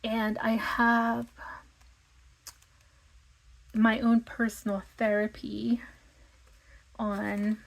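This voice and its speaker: A woman with a slightly raspy voice, chatting casually and thinking aloud with lots of fillers as she plans her week. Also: on the high side, level tone, drawn-out words, pauses often, low-quality recording. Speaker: vlogger. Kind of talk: casual planning chat.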